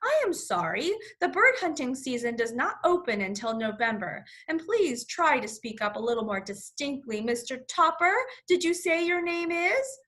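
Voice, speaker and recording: Woman playing an officious, high-pitched telephone clerk. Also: big pitch swings, normal speed, hardly any pauses, a bit bright. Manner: officious, mishearing. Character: obtuse, polite city hall telephone clerk.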